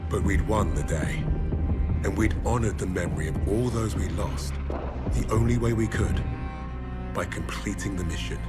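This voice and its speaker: Deep male actor as WWII soldier-narrator, grave, blunt, resolute, scornful; game voice-over under heavy score. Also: close to an everyday voice. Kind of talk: cinematic scripted voice-over narration. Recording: loud music bed behind the voice. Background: cinematic music.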